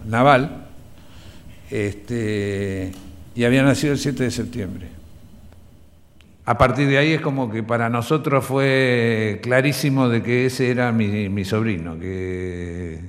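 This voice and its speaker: A middle-aged Argentine man giving conversational testimony in a hesitant, reflective way. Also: medium pitch.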